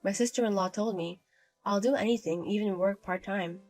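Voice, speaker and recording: A timid young wife, soft-spoken and apologetic, as a woman voices her. Her quiet, hushed delivery suits a dramatized family story, with faint music playing behind. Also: mid-range voice, brisk, lightly acted. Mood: quietly resolved.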